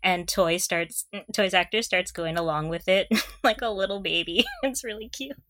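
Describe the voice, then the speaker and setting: A woman's voice: on the low side, normal speed, a bit bright, no echo, clean studio sound. A young woman co-hosting a casual fan podcast, talking in a giggly, gushing way. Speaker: podcast co-host.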